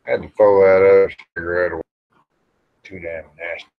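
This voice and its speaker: A man rambles casually to livestream viewers in a folksy, grumbling way, pausing often. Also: low voice, flat delivery, gravelly, dry recording. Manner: folksy, grumbling, drawn-out with pauses. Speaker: livestream host. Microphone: small microphone near the face. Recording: dull, muffled livestream audio.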